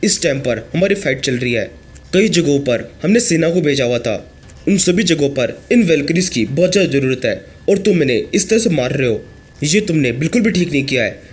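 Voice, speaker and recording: A hoarse man reading the lines of an arrogant young prince who is shaken but scheming. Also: on the high side, expressive, fairly quick. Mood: indignant.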